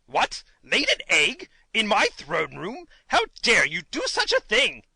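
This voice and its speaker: A woman voicing an excitable, indignant little gnome king in a theatrical storybook reading. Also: medium pitch, normal speed, no echo, full character voice. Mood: startled anger.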